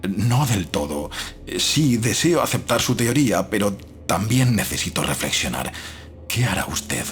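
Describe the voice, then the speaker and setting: An actor playing a troubled, doubting man who narrates a gothic horror audiobook in a measured, literary and dramatic way. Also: lowish voice, very animated, faint music behind. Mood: hesitant.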